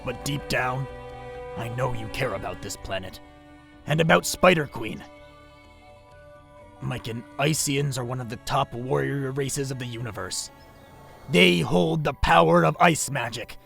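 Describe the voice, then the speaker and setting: A man voicing a solitary male warrior mentor in an audio drama, grave and measured, with a gravelly voice. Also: mid-range voice, a bit bright, faint music behind.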